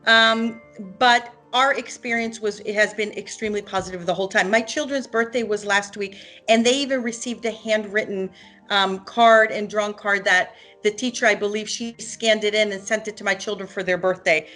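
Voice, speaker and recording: Woman in her forties from Hungary, parent testimonial, halting with frequent ums, faint music behind. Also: mid-range voice, fairly even intonation, sharp tone. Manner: hesitant, many ums and restarts. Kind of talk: unscripted testimonial.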